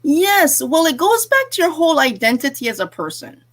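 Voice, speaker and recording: A fairly high-voiced woman coach gives earnest, emphatic advice in a live interview. She was born in England and grew up in Jamaica and New Jersey. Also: very animated, moderate pace, a bit bright. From born in England, raised in Jamaica and New Jersey. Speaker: guest, business coach and author.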